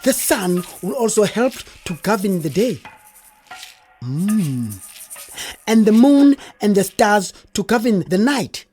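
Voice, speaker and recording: An actress playing a playful, grand creator spirit in children's audio theatre, speaking theatrically with musing hums. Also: female voice, medium pitch, very animated, slow pace, mellow, husky, faint music behind, exaggerated voice. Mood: grand, commanding.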